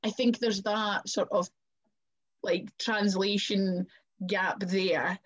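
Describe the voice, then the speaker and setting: A woman's voice: medium pitch, steady tone, slow pace. Scottish woman, wrestler guest, chatty, acts out conversations, slightly dull lo-fi sound. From Scotland. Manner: chatty, acts out quoted exchanges. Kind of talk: casual chat.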